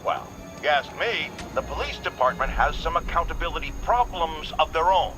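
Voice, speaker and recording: Over prominent music comes a man acting as a self-righteous talk radio host, fairly high in pitch. He is pompous and indignant, ranting paranoid opinions with emphatic bluster, in the style of a game's in-world broadcast. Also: expressive, fairly quick, close to an everyday voice. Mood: brisk bluster.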